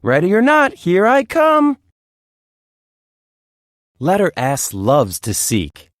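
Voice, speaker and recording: A woman reads a children's story as narrator. She goes slowly with very lively intonation, and the sound is dry and close. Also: low-pitched, no room sound. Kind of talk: expressive children's read-aloud narration.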